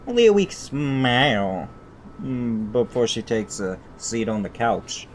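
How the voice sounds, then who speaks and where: A man hosting a gaming video, reading visual novel narration aloud haltingly and repeating phrases. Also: mid-range voice, expressive, background noise.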